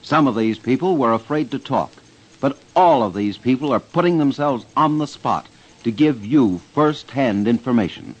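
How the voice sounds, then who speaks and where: A man with an American accent narrates like a 1940s crime radio host, grave and emphatic. His pitch swings widely for drama, and the recording is dull, like an old broadcast. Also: animated, normal speed.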